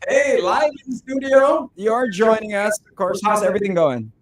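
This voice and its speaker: A man's voice: sits high, expressive, normal speed. A man hosting a radio show from a studio, interviewing a guest in a casual, upbeat, chatty way.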